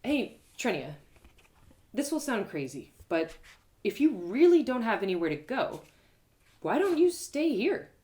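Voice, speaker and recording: A woman's voice: fairly high pitch, sing-song, a little slow, long pauses, a little mellow, voice pushed up. A woman voicing an eager, plucky young adventurer girl, chatty and earnest, in a told fantasy story. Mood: eager, excited.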